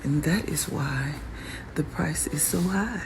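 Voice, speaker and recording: A raspy woman vlogging casually about her own work, admiring it out loud and laughing often. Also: low voice, slow pace, rough recording. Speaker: fashion designer vlogger. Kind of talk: casual show-and-tell vlog. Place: workshop in Arizona. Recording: music playing behind the voice.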